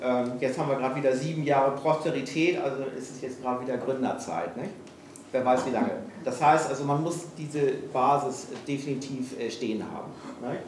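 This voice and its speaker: A man's voice: medium pitch, moderate pace, a little mellow. Hesitant man in an echoey room. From Hamburg, Germany. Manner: frank, self-mocking, many hesitations. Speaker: speaker at a failure-stories evening. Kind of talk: live talk to an audience. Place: small event room with audience. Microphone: room PA, recorded from a distance. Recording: echoey room, distant mic, faint noise.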